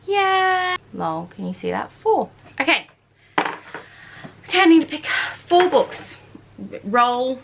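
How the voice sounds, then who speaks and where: An Australian woman in her twenties, chatting casually and informally in an excitable way, like a book vlogger. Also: high voice, slow pace, vocal fry, long pauses, lo-fi sound, background noise. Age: 20s. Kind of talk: casual chatty vlog talk.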